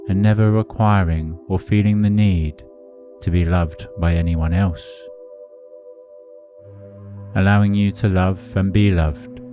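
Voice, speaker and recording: A man narrating a hypnotic guided meditation in a soothing, deliberate manner with measured phrasing. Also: sits low. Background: music under the voice.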